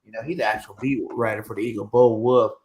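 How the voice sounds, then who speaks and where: A man's voice: fairly low pitch, steady tone, fast, darkish tone. A man who is a guest on an interview show, talking casually and animatedly with lots of "you know what I'm saying" asides. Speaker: guest content creator.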